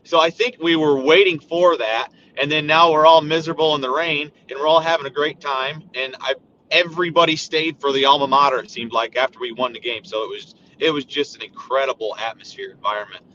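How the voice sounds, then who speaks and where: A male caller with a hoarse voice, talking in an eager, chatty way. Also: fairly high pitch, fairly quick, bright, forceful tone, dull audio, a little hiss or hum. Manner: enthusiastic, chatty.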